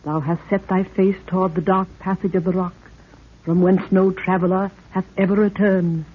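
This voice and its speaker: Proud, solemn queen, low and husky, formal archaic delivery, 1930s radio serial on a thin, tinny recording. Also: little pitch movement, normal speed. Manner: stately, formal archaic speech.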